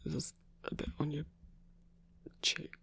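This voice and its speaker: A man playing a gruff but tender werewolf boyfriend in an intimate ASMR roleplay, rambling in halting, sweary, hushed phrases with a husky, gravelly voice. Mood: tender, teasing.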